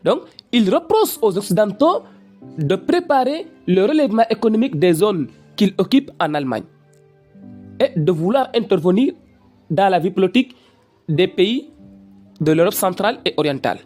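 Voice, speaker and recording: A performer in an educational cartoon plays a didactic history professor who lectures deliberately and is easily exasperated. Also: medium pitch, very animated, darkish tone, soft background music.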